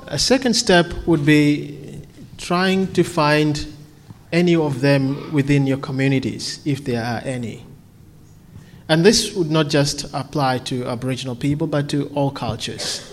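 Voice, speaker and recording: A man's voice: on the high side, slight background noise. A man from Kenya, preaching earnestly and then answering questions, often repeating words as he restarts. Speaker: visiting preacher.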